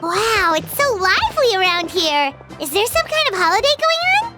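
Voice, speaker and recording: A woman voicing a tiny, excitable, timid fairy companion in a video game, with a squeaky childlike voice. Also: normal speed, loud music behind the voice. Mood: wide-eyed surprise. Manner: wide-eyed, excitable. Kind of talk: video game English dub acting.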